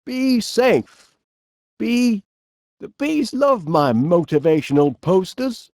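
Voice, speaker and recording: A man's voice: sits high, animated, slow pace, darkish tone, dry recording, studio quality, heavily acted. A man playing a cheerful middle-aged eccentric inventor in a cartoon video game, with a Northern English accent and a quaintly polite, dithering manner.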